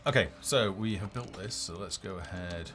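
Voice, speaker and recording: Deep-voiced man presenting a game livestream. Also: normal speed. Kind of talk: casual live game demo commentary. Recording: music behind the voice.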